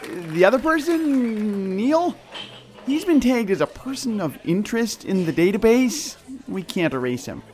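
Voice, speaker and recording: A woman's voice: mid-range voice, sing-song, a little slow, darkish tone, some chatter behind, slight background noise. A woman voicing a nervous, chatty young woman on her first day at a job, fumbling her words. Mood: flustered. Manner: fumbling and talkative.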